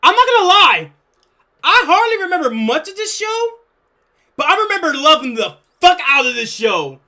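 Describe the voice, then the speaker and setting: Bright excitable swearing streamer man. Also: very high-pitched, normal speed. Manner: casual, swears a lot, excitable. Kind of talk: live reaction stream chat.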